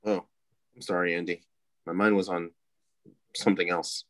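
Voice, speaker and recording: An actor playing an awkward, preoccupied young wealthy man in a read-through of an animated film, hesitant and husky. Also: low voice, normal speed, dry recording, natural voice. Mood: sheepish. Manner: hesitant, sheepish.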